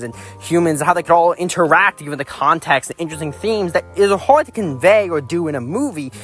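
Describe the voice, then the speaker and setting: A man's voice: a little high, a bit bright. A man giving casual, rambling opinion commentary as a video reviewer, in a critical, exasperated manner. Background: background music.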